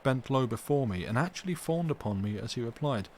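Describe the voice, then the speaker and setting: A man's voice: medium pitch, lively intonation, fairly quick. Man reading a gothic novel, faint noise. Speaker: audiobook reader on a livestream.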